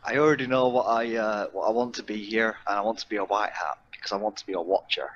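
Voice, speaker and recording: Low-voiced man on a dull online call. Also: fast, fairly bright tone. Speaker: player. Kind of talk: casual chat.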